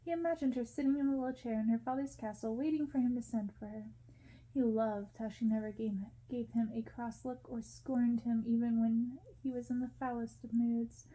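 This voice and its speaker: A woman reading a story aloud as ASMR narration, in a soft, hushed storytelling manner. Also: a little high, low-quality recording. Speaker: ASMR reader.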